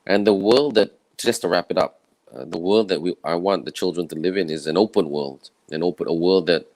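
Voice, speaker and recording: Man, very low voice, tired and croaky, candid, rambling, on a video call. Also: darkish tone, poor audio. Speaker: summit speaker. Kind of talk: personal talk on video call.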